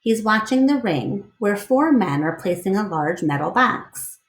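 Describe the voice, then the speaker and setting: Female storyteller for children's book read-aloud: unhurried, varied intonation, roomy echoing recording. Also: mid-range voice, lively intonation. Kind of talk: expressive children's book narration.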